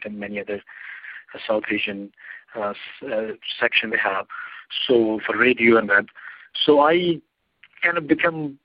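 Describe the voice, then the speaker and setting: A man with a Pakistani accent answers an interviewer in long, winding sentences with some non-native phrasing. His voice sits at a middle pitch. The recording is dry and dull, like lo-fi audio. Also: no room sound. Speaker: journalist guest. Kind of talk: interview answer, personal story.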